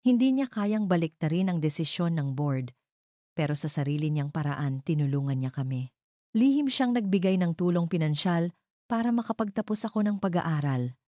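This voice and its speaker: Woman narrator as solemn loyal lawyer, storybook drama, careful weighty delivery, dark low voice, dry sound. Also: lively intonation, normal speed, no room sound, close to an everyday voice. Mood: solemn, earnest. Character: earnest adult lawyer recounting a father's disgrace, solemn and loyal.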